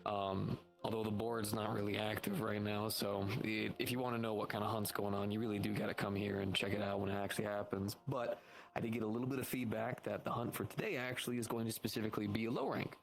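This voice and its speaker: A man's voice: lowish voice, fairly even intonation, fast, gravelly, slight background noise, poor audio. A man role-playing online in casual improvised chat, often repeating his phrases. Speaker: role-player as a monster hunter guild member. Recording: music behind the voice. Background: music.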